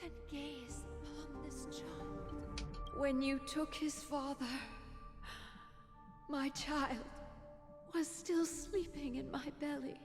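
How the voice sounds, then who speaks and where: A grieving young mother from a video game, solemn and tender. Her high voice moves slowly through prayer and blessing, at times slipping into breathy whispers, inside a long hall reverb with music behind. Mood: sorrowful pleading. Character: sorrowful young mother blessing her child, praying to a goddess. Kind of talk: dramatic video game acting.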